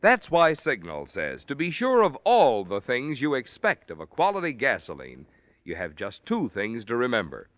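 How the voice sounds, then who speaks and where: Animated 1940s male radio announcer. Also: mid-range voice, moderate pace, dry recording. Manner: polished, emphatic salesman delivery. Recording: dull, dry vintage radio recording. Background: music.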